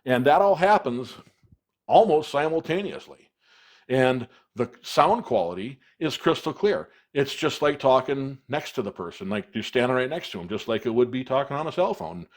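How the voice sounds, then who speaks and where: A man's voice: mid-range voice, expressive, moderate pace. American man casually explaining gear. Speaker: product reviewer.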